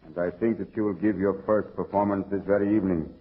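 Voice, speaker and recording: An actor playing a menacing, mock-polite masked bandit in old-time radio melodrama, on a muffled recording. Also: male voice, deep voice, fairly even intonation, fast talker, lightly acted. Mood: mock-polite menace. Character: masked bandit, suave, sadistic, menacing male villain.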